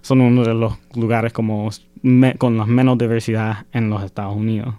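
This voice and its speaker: A man's voice: fairly low pitch, little pitch movement, normal speed, warm tone, clear, resonant tone, dry recording. A young man student in a casual group discussion, speaking hesitantly with many filler sounds. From United States. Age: young adult. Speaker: university student.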